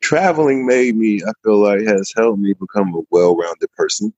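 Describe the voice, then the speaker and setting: Male guest, deep, relaxed measured delivery, dry muffled remote audio. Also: dry recording. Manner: easygoing, measured, with frequent pauses. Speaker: podcast guest. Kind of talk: casual interview answers.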